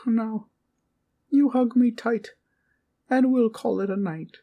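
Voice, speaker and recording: A woman's voice: fairly high pitch, slow pace, phone-quality sound. A woman voicing a small, kindly teddy bear guardian in a comfort audio roleplay, sweet and polite with courtly, old-fashioned wording and a soothing bedtime manner. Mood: sleepy, affectionate.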